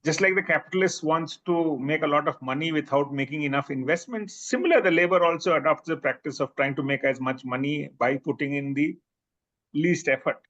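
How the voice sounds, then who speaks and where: A man's voice: a little high, brisk, fairly bright tone. Indian man, professor answering questions. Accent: Indian English. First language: Bhojpuri. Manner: thoughtful, many fillers and restarts. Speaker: presenting professor. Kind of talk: academic Q&A answer. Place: seminar room. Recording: slightly muffled recording.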